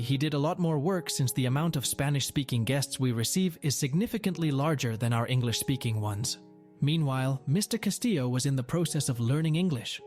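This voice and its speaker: A man narrating a first-person workplace story in a steady, even storytelling read. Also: medium pitch. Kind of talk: scripted narration of a reddit story. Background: faint music.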